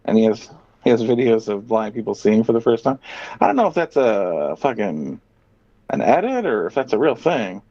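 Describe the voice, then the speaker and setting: A man's voice: on the low side, very animated, moderate pace, mellow. A man chatting casually as a podcast host, reading out items and reacting with profane, sardonic opinions. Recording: dull, muffled lo-fi audio.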